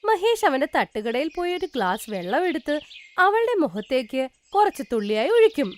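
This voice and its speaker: A woman's voice: high voice, very animated, moderate pace, a little hiss or hum. A woman narrating a scripted children's moral story as its storyteller.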